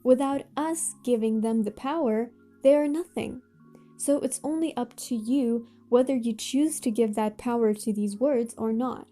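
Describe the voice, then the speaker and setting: A woman with a Russian accent delivers motivational advice in a gently high, mellow voice. Her phrasing is measured and deliberate, with pauses that stress key words. Faint music plays behind her. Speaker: host of a self-improvement channel. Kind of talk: motivational advice talk to viewers.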